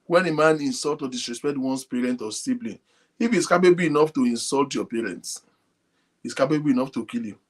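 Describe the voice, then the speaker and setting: Man, breathy voice, soft-spoken, casual talk to an audience. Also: mid-range voice, a little fast. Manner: speaks softly, animated, addresses viewers directly. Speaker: online show host. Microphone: phone linked to a computer.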